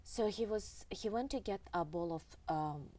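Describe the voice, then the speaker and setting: A middle-aged woman from Russia, an author telling family stories in an interview in a halting, earnest way with many pauses. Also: mid-range voice, mellow. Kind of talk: reflective storytelling in an interview. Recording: distant mic with faint background noise.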